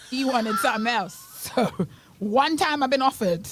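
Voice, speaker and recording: A woman's voice: high-pitched, normal speed, noisy background. A woman co-hosting a morning radio show, telling travel stories in casual chat with dry asides and frequent laughter, with a British accent. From Bahamas. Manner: chatty storyteller, laughs often, dry asides. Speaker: radio co-host.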